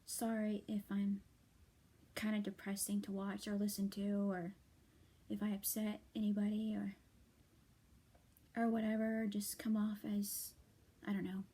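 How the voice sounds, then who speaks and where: Young woman, hoarse, sleepy, whispery, mellow dark tone, breathy, halting ramble. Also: mid-range voice, completely flat delivery. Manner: soft, halting, often whispery, many fillers and restarts. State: tired and hoarse. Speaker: vlogger. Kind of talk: late-night rambling vent to viewers.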